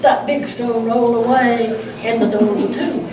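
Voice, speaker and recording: A woman voicing a chatty village girl puppet who tells what she witnessed, in an animated, storytelling manner. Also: a little high, normal speed, close to an everyday voice. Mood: wondering. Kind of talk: amateur church puppet show. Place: large echoey church sanctuary. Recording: distant echoey church recording with noise.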